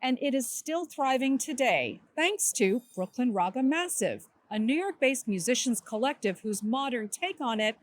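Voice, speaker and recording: A woman correspondent opening a news feature in a crisp, informative voice. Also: on the high side, expressive, moderate pace, few pauses, no echo. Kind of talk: scripted news narration.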